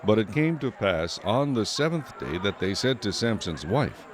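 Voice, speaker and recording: A man's voice: on the low side, sing-song, moderate pace, a little hiss or hum. A man narrating scripture as a scripted audio drama narrator.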